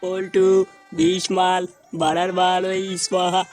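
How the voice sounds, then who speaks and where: A man acts out a mock-solemn cartoon wedding priest. His voice sits fairly high and barely moves in pitch as he drones crude chants. Faint music plays behind him. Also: monotone, a little slow.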